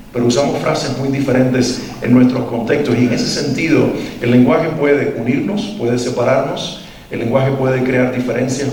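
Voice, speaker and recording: A man's voice: medium pitch, darkish tone, a little hiss or hum. Cuban man speaking in an echoey hall. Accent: Cuban Spanish. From Cuba. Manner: earnest, reflective, asks audience questions. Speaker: parenting workshop speaker. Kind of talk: talk to a live parent audience. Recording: echoey hall, mic at a distance.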